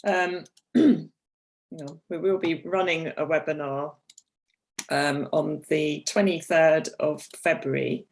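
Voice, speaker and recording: Croaky woman hosting a webinar. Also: deep voice, steady tone, slow pace. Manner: chatty, with frequent ums and throat clearing. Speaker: webinar host. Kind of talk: presenting a product webinar.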